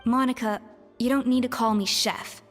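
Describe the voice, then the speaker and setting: A woman voicing a stern, composed former head chef who speaks firmly and measured in a video game. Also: fairly high pitch, steady tone, in a large hall, soft background music, lightly acted. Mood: calm. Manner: firm, measured, commanding.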